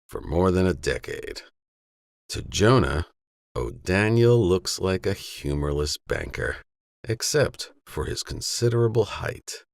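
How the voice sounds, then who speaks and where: A man narrating a western novella as an audiobook, in a measured, grave storytelling manner. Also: sits low, vocal fry, no echo.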